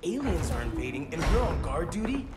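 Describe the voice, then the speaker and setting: A man's voice: fairly high pitch, big pitch swings, a little fast, roomy sound. A young man playing a brash, impatient, cocky superhero in a video game cutscene. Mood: annoyed. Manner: brash, complaining. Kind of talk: video game cinematic acting. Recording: loud music behind the voice.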